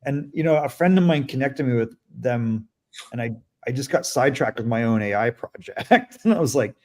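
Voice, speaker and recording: A man from Canada hosting a casual live interview, chatty and quick to joke and laugh. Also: medium pitch, lively intonation, darkish tone. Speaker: host.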